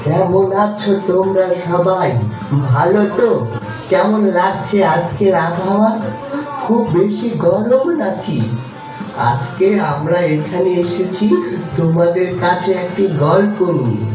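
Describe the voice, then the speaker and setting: A performer voicing a kindly old grandfather puppet, telling a story to children in a patient, gentle, explaining way. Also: medium pitch, takes it slowly, lightly acted. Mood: welcoming. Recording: very echoey, distant, faint music behind.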